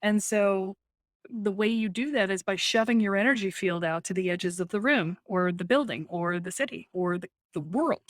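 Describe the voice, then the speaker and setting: Animated woman coaching with emphatic energy. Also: mid-range voice, moderate pace, dry recording, studio quality. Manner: animated, emphatic, often adds "right?"; laughs easily. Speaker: spiritual coach guest. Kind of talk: podcast interview.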